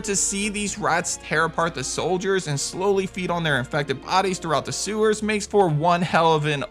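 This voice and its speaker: Bright, punchy male gaming countdown host. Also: on the high side. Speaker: video game channel host. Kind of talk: scripted countdown commentary. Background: background music.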